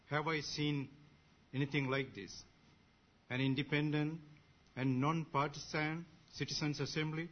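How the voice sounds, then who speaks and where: A man from Sri Lanka whose first language is Sinhalese, giving a measured, ceremonious speech as an assembly member. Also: fairly high pitch, lo-fi sound. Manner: measured, ceremonious, short phrases. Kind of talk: formal prepared speech.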